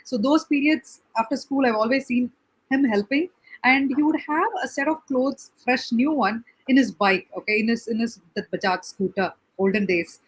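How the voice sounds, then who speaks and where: A woman's voice: on the high side, husky, low-quality recording. A woman from Tamil Nadu, earnestly describing her charity work and saying "okay" often as a guest on a chat show. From Tamil Nadu, India. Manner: earnest, fills pauses with "okay". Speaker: guest, NGO founder. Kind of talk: conversational interview answers.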